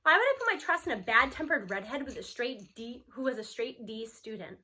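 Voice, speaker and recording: A woman's voice: fairly high pitch, lively intonation, brisk, sharp tone. An American woman reading a children's novel aloud, telling it expressively in the first person as a teenage narrator. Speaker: storybook reader.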